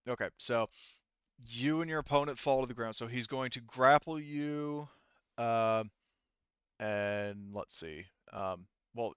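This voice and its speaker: A man working as game master in an online tabletop roleplaying session, thinking aloud in halting phrases as he narrates and checks rules on a headset mic. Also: mid-range voice, fairly bright tone, clean tone, no room sound, dull audio. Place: online voice call. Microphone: gaming headset.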